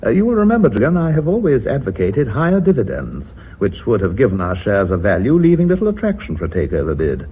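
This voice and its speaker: An actor playing an older, titled company chairman in a radio drama, speaking in a measured, formal, orotund way. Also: medium pitch, huge pitch swings, slight background noise. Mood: measured, judicial. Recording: dull, muffled radio recording.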